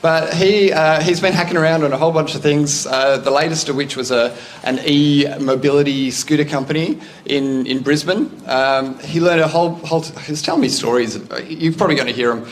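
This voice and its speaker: An Australian man hosting a startup meetup, making relaxed, chatty announcements to a live audience in a room. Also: fairly high pitch, brisk, hardly any pauses, slight background noise. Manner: chatty, relaxed, loose with fillers. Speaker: event host. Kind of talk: casual event announcements.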